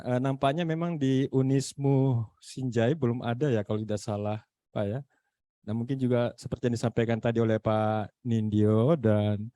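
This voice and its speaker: A man from Makassar with a Makassar accent, a university lecturer speaking in an online meeting, halting and filling pauses as he searches for words. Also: fairly low pitch, warm tone, dry recording. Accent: Makassar Indonesian. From Makassar, South Sulawesi. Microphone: video call.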